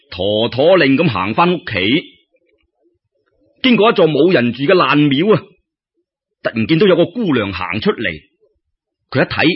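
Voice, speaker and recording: 沙聲粵語男講古佬. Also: male voice, mid-range voice, slightly rough. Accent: Cantonese. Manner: colloquial, expressive, with exclamations and drawn-out particles. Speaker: storyteller, radio show host. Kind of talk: traditional Cantonese storytelling narration. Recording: dull lo-fi radio-style recording.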